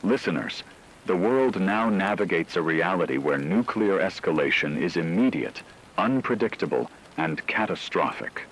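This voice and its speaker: A man's voice: low voice, expressive, moderate pace, fairly bright tone, slight background noise, poor audio. A man playing a solemn radio anchor, reading a portentous scripted dramatic news report about a catastrophe. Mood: grave, solemn. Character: grave male radio anchor reporting nuclear war.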